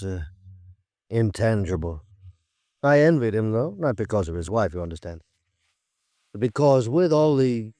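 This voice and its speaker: An actor playing a big, middle-aged, graying doctor, measured and reflective, in a 1950s American radio drama. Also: male voice, on the low side, dark tone, dry recording, studio quality, natural voice. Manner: measured, thoughtful, educated phrasing.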